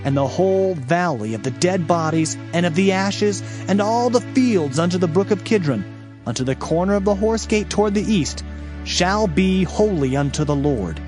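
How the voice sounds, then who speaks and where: A solemn prophet in an audio Bible drama. His voice sits a little high. He speaks with measured emphasis over a music bed. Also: expressive, darkish tone. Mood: solemn, proclaiming. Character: earnest male Hebrew prophet proclaiming oracles and recounting events. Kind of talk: dramatized scripture reading.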